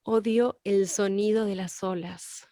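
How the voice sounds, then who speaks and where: A woman's voice: medium pitch, steady tone, takes it slowly, mellow, dry recording, clean studio sound, lightly acted. Woman acting a weary, bitter radio-play heroine. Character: weary, bitter woman recalling a long sea voyage. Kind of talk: reading a radio drama script.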